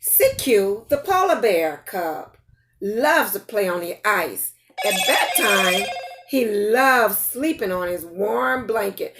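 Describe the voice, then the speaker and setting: Older woman, slow, very lively storytime reader. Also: mid-range voice, slight background noise. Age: older, grandparent. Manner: expressive, playful, addresses children directly. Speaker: storytime host reading to children. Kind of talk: children's picture-book read-aloud with questions to young listeners.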